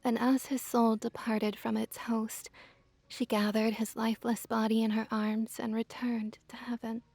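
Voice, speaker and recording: Slow, whispery woman telling a story. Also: a little high, flat delivery, no echo, soft background music. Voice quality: breathy, whispery. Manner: soft, gentle, measured delivery. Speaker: ASMR storyteller. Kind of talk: ASMR scripted storytelling.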